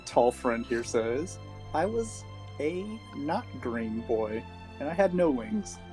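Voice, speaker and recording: A man's voice: medium pitch, sing-song, takes it slowly, a little mellow, soft background music. A man playing a tabletop role-playing game, casually narrating and chatting in character.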